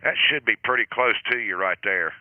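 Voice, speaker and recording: A man acting as net control on an amateur radio net, chatting in a relaxed, friendly way and chuckling now and then. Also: on the low side, fairly quick, no room sound, narrow, tinny audio.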